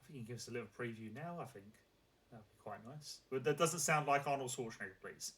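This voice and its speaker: A man's voice: medium pitch, quick pace, a bit bright, a little hiss or hum. Rambling male chat show host. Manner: rambling, filler-heavy questions with many restarts. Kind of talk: casual chat show on a live stream.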